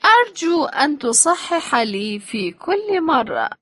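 A woman reading short phrasebook sentences slowly and clearly for language learners. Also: sits high, big pitch swings, a bit bright, no echo, clean studio sound. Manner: slow, clearly spaced phrases. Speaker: phrasebook reader.

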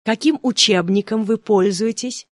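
A speaker reading short phrasebook sentences slowly and clearly for language learners. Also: medium pitch, no echo, studio quality. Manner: slow, clearly spaced repetitions. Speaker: phrasebook reader.

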